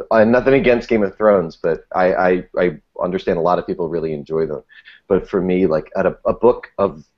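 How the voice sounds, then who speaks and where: A man talking casually on a podcast panel in a wry, wordy way, leaning on emphatic words. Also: low voice. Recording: muffled, dull lo-fi audio.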